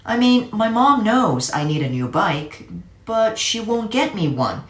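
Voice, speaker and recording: A woman voicing an eager, excitable young boy in a children's storybook, animated and a little sheepish. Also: medium pitch, measured pace, voice pushed up. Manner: animated, exclaiming. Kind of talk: storybook character voice. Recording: echoey room.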